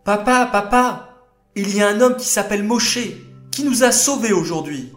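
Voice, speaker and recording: A man voicing excited young sisters in a children's story, eager and urgent. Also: echoey room, soft background music. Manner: eager, fast, high and urgent.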